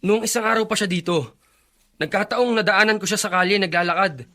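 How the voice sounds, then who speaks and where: A man's voice: high voice, brisk, pushed and bright. A Filipino actor playing a kind-hearted, principled young man in a radio drama, speaking earnestly. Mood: earnest, defensive.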